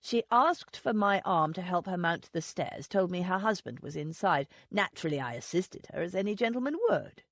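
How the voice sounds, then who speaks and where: A woman voicing a proud, stiff young gentleman husband who speaks firmly and sounds offended. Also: lowish voice, lively intonation, normal speed, few pauses, no room sound.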